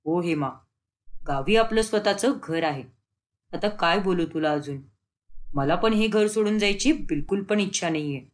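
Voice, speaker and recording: A woman's voice: fairly low pitch, expressive, moderate pace, lightly acted. A woman voicing a stern, weary middle-aged husband and father in a cartoon story, firm and admonishing. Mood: resigned.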